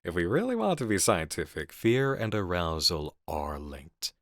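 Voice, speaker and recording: Man playing deep-voiced, seductive horror game designer. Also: very animated, normal speed, a bit bright, no echo, studio quality. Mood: dark, persuasive. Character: intense seductive male horror game designer, obsessed with fear. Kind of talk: intimate ASMR roleplay acting. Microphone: close studio mic.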